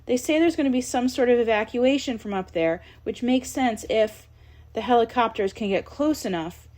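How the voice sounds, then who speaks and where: A woman's voice: fairly high pitch, animated, moderate pace, a little mellow, close to an everyday voice. A woman voicing a panicked father leaving an urgent phone message while trapped, calling out and pleading over and over. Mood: desperate. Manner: urgent, pleading, breaking off into repeated calls.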